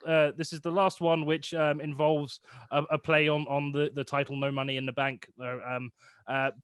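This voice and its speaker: Hesitant man hosting, high bright voice. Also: flat delivery, moderate pace, clear, resonant tone, no echo. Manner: hesitant, rambling. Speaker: host. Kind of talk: casual live introduction.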